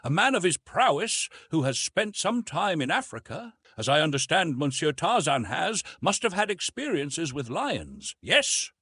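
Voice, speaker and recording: A man's voice: a little high, big pitch swings, a little slow, few pauses, sharp tone, breathy edge, no room sound, pitched above the performer's own voice, heavily acted. A man voicing a good-natured French hunter in an audiobook, teasing and formal with a touch of sarcasm. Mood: teasing, challenging. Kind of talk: audiobook character dialogue.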